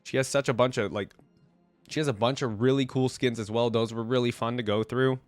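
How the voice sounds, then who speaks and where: A man's voice: medium pitch, fairly even intonation, a little fast, no room sound, faint music behind. A man with a cold, chatting casually and in a rambling way to his viewers as a reaction video host. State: congested with a cold. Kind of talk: casual rambling chat to viewers about a game.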